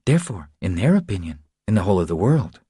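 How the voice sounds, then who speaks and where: A man voicing a woman who speaks with soft, lilting local pride in an audiobook. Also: low voice, very animated, fast, slightly rough, dry recording, studio quality, full character voice. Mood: soft, proud. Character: Carmen, a woman recalled proudly describing a Texas town. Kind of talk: audiobook character voice.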